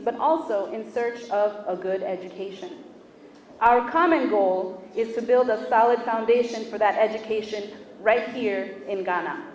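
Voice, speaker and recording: A woman's voice: fairly high pitch, darkish tone, slight background noise. Woman giving a formal speech in an echoey hall. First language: Spanish. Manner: measured, deliberate, pauses between list items. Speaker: official speaking at a book launch. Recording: echoey room picked up from a distance.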